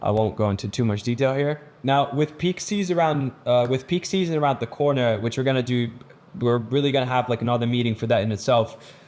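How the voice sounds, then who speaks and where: A man's voice: medium pitch, a little fast, darkish tone. A young man from the Netherlands, a business founder, speaking earnestly and motivationally to camera and then training his team, with frequent restarts and fillers. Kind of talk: casual vlog intro, then presentation-style training.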